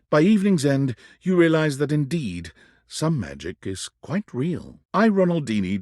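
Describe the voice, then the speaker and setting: Lively, husky dramatic game narrator. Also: male voice, mid-range voice, no room sound, studio quality. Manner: dramatic, deliberate storyteller. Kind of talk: scripted storybook-like game narration.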